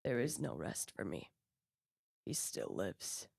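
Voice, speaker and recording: Radio play lead: female actor as tormented male scientist, dying and vengeful, low register, solemn melodramatic delivery. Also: huge pitch swings, takes it slowly, vocal fry, a little mellow, breathy edge, heavily acted. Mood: dying despair. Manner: solemn, anguished, melodramatic declaiming. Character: dying, vengeful male scientist obsessed with hunting his creation. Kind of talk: theatrical radio play acting.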